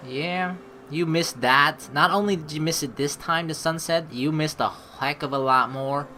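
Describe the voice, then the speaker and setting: A woman's voice: low voice, moderate pace, bright, forceful tone, slight background noise. A woman hosting a gaming video, reading game narration aloud and reacting in a chatty, theatrical way. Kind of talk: let's play commentary and read-aloud game narration. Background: faint game music.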